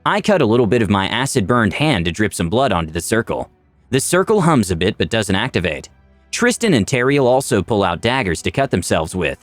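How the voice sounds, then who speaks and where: A male narrator tells a fantasy tale with very lively intonation and dramatic delivery. His medium-pitched voice is recorded dry, with music playing faintly underneath. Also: dry recording. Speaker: channel narrator. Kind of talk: dramatic scripted story narration in first person.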